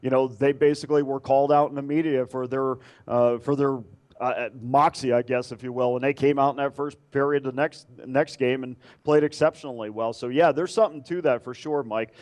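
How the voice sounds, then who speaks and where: A man who works as a radio sports analyst, giving measured casual betting analysis with many fillers. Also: mid-range voice, steady tone, darkish tone.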